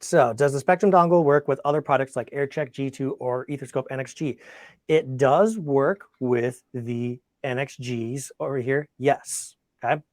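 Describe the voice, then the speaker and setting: A man's voice: mid-range voice, no room sound, studio quality. A man presenting an informal technical webinar and taking questions, talking conversationally and thinking aloud as he goes. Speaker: product demonstrator and webinar presenter.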